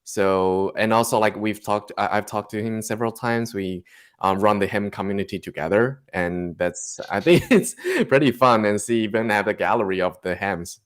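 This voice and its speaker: A man from Taiwan with a Taiwanese accent, speaking haltingly and searching for words as a guest artist in a casual interview. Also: lowish voice, fairly even intonation, clean tone.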